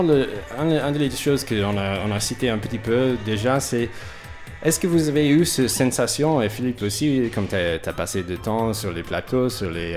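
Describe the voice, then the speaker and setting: A low-voiced man hosts a conversational radio interview, with music playing behind him. French is not his first language, and he feels his way through sentences, repeating words as he searches for the next one. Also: darkish tone. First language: not French, speaks it as a second language. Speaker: radio host interviewing guests.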